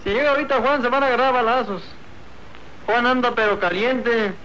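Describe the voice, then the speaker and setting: A man playing an excitable, wily, timid rancher sidekick in a radio serial, with folksy northern Mexican talk. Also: very high-pitched, brisk. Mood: flustered. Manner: folksy, drawling rustic talk. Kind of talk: old radio serial acting. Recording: dull, noisy old radio recording.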